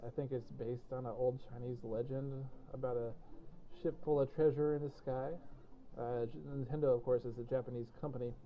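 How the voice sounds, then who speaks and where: A young man with a cold-roughened, hoarse voice, casually commenting while playing a video game, explaining things with many fillers. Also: mid-range voice. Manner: rambling, explanatory, self-deprecating, many fillers. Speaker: gameplay commentator. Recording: tinny phone-like audio with faint hiss.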